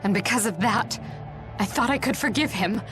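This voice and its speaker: A woman's voice: a little high, moderate pace, natural voice. An actress playing a proud, disillusioned noblewoman in an English video game. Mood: bitter. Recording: background music under the voice.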